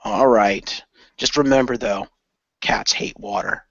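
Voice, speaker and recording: A man's voice: lowish voice, fairly even intonation, slow pace, fairly bright tone, dry recording, lo-fi sound, heavily acted. A man playing a wry young shapeshifting hero in an audio role-play. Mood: dry. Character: Jeff, young hero who shifts into lion form, wry.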